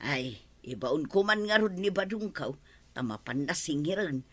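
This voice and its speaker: An actress with an Ilocano accent playing a gossipy village woman in a radio comedy drama, chatty and animated. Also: low-pitched, normal speed. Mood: scheming. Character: gossipy village woman fretting over unpaid money.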